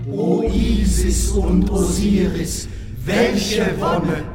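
Radio drama: reverent priest, high-pitched ceremonial delivery, distant and reverberant over loud music. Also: male voice. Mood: reverent, jubilant. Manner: slow ceremonial chanting delivery. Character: exalted priests solemnly praising their gods. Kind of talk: German radio play acting.

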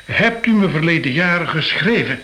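A man's voice: on the high side, lively intonation, normal speed, bright, forceful tone, low-quality recording. A man playing a courteous, thoughtful museum curator in a radio drama, with polite, slightly hesitant phrasing. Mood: polite, reflective.

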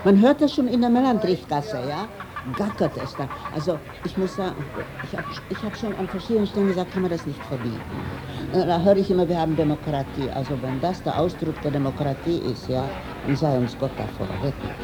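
An elderly woman with a low, gravelly voice, telling anecdotes in a wry, emphatic way. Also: fairly quick, very noisy. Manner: wry, emphatic storytelling with rhetorical tags. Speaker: interviewee, writer sharing recollections. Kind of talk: spontaneous anecdotal recollection.

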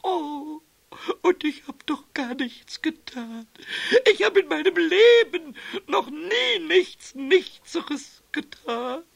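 An actor playing a cheeky, sulky, childlike little male kobold with a squeaky voice and whiny, repetitive speech in a German children's radio play. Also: animated, slow pace, vocal fry, full character voice. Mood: tearful, self-pitying. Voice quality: high, squeaky, piercing. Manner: whiny, stammering repetitions, singsong rhyming.

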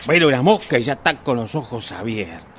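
A man's voice: mid-range voice, sing-song, quick pace, slight background noise, close to an everyday voice. A man with an Argentine Rioplatense accent playing a grumbling, tipsy husband in a radio drama, muttering exasperated complaints to himself. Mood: grumbling, secretive. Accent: Argentine Rioplatense Spanish. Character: grumbling tipsy husband stumbling home at night.

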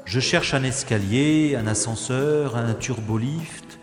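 A man's voice: mid-range voice, slow pace, echoey room, long reverb tail, over music, lightly acted. An actor playing a composed special agent hero who narrates his own escape in the first person with dry, wry asides. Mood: calm. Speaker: lead character and narrator.

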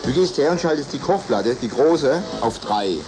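Studio radio host guy, teasing quips, bouncy pitch, music blasting under him. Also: expressive. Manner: playful teasing, quick quips. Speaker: radio DJ hosting call-ins. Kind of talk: joking call-in radio banter. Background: loud music.

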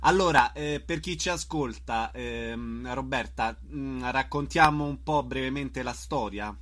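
A man hosting a conversational radio interview, putting his questions in a halting way. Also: mid-range voice, a bit bright.

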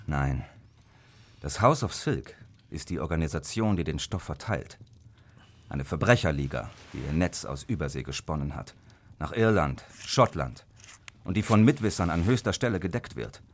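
An actor playing a wary, greedy Victorian opium addict confiding secrets in a radio crime drama, with theatrical, deliberate emphasis. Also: male voice, rumbling low, animated, a little hiss or hum. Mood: grim, secretive.

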